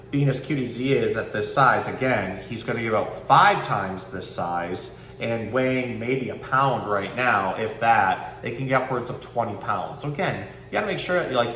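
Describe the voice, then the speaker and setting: A man's voice: medium pitch, fairly bright tone. A man working as a zookeeper presenter, giving casual educational talk and reading a picture book aloud. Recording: roomy, distant mic, faint background noise.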